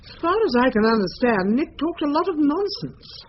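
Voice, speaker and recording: An actress playing a loyal, prim, reproving older English wife in British radio drama, proper, firm and matter-of-fact. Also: female voice, high voice, sing-song, moderate pace. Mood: firm, dismissive. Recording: dull, slightly noisy radio recording.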